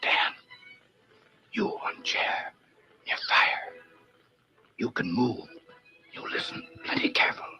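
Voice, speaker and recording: An actor playing a brave, terse male sidekick in an old radio western, speaking hushed, halting broken English. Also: medium pitch, huge pitch swings, in a whisper, full character voice. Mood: urgent whisper. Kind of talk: old-time radio serial acting. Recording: dull vintage radio, faint music.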